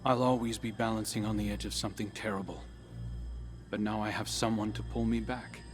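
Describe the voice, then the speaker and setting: Young male game hero played by a man, vulnerable yet resolute, lowish measured delivery over music. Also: fairly even intonation, natural voice. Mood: vulnerable resolve. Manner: measured, sincere, confessional. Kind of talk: video game cutscene dialogue. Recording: loud music behind the voice.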